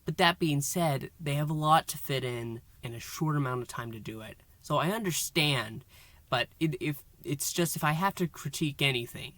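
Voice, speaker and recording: A man's voice: on the high side, normal speed, a bit bright, dry recording. Man doing a casual review, dry sound. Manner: thinks aloud with frequent restarts and asides. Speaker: podcast host reviewing an audio drama. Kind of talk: casual solo review chat.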